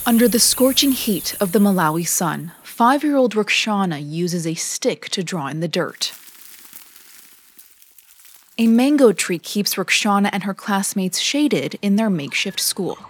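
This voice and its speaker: A woman hosting a podcast, narrating a scripted documentary story in a measured, even way. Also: mid-range voice, no echo. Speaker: podcast host.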